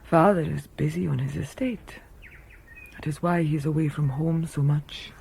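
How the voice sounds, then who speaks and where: An actress playing a tender, reserved, dreamy mother in an intimate audio drama, speaking in a quiet, measured, deliberate way. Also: sits low, fairly even intonation, gravelly, slight background noise. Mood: tender, hushed.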